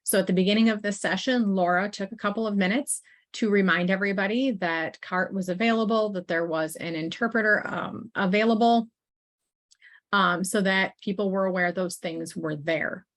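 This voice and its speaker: A woman in the middle of an online training session, speaking in a mid-range voice through a computer mic. She talks in a loose, conversational way, full of small fillers and quick asides to the people listening. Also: medium pitch. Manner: friendly and informal, with frequent fillers and asides. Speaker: assistive technology consultant presenting a training. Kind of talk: conversational webinar presentation.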